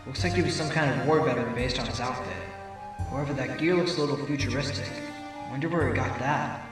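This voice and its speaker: A man's voice: medium pitch, fast, natural voice. Echoey, wry fox lawyer played by a man. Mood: wry, musing. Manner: wry, musing. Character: wry, sardonic male fox defense attorney. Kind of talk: audio drama acting with inner monologue. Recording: very echoey, distant voice with music.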